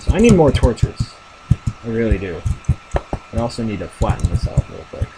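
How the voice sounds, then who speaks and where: Young male gamer, deep voice, rambling casual commentary, noisy home recording. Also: sing-song, normal speed. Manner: rambling, thinking aloud with many fillers. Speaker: gamer narrating his play.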